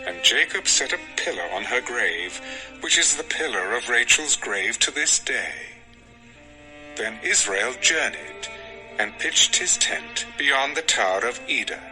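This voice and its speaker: Male narrator, lively varied intonation, mid pitch, measured scripture storytelling, music underneath. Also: low-quality recording.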